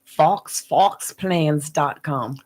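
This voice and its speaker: A man's voice: fairly high pitch, very slow, a little mellow. A man co-hosting a local radio talk show, dropping in brief, dry remarks. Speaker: radio co-host. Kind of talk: casual radio chat.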